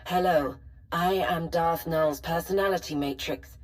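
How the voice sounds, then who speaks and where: A woman playing a formal, measured artificial personality stored in an ancient archive, precise and helpful, in a video game. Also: low-pitched, rough recording. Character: calm, formal female personality matrix of a Sith holocron. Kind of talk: scripted video game voice acting.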